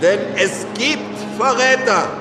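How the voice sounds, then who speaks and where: An actor playing a suspicious, calculating revolutionary deputy giving an insistent, accusing speech in a radio drama. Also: male voice, extremely high pitch, expressive, takes it slowly, loud music behind the voice, full character voice. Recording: roomy, distant, heavily noisy hall.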